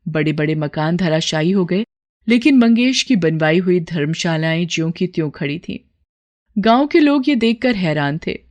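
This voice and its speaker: A woman narrates a scripted story in a somewhat low voice. She works as a storyteller, carrying the tale from scene to scene. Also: animated, moderate pace, a little mellow, lo-fi sound.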